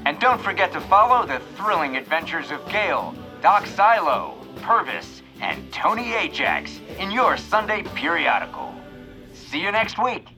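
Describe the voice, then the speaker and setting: An actor playing a theatrical old-time radio serial announcer with a booming delivery. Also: male voice, big pitch swings, a little slow, music in the background, heavily acted, rough recording.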